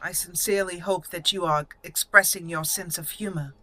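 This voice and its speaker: A woman's voice: lowish voice, steady tone, moderate pace, pushed and bright, poor audio. A woman voicing a proud, formal young noblewoman mage in a roleplayed fantasy game, with a stately, haughty manner. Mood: formal, wary.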